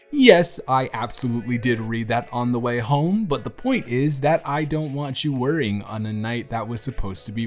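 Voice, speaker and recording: A man playing a bruised, self-deprecating teenage boy confiding in his best friend in an intimate audio roleplay, talking in a hesitant, gently wry, conversational way. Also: medium pitch, lightly acted. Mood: sheepish, joking. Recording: music behind the voice. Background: soft background music.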